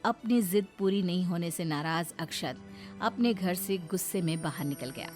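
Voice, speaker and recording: A woman's voice: lowish voice, moderate pace, over loud music. A woman giving scripted narration of a story.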